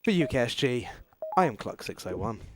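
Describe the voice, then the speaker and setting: A man's voice: mid-range voice, big pitch swings, fairly quick. A man hosting a live gaming marathon, upbeat as he presents and reads out messages. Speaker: marathon host reading donations. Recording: noisy live event, clicks.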